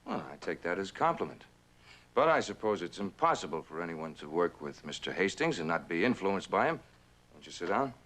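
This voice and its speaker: A smooth corporate right-hand man in an old TV drama. His low voice moves widely in pitch, polished on top, with condescension and quiet menace underneath. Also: sing-song, brisk, exaggerated voice. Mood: polite. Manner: polished, controlled, veiled menace. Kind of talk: mid-century American television drama acting.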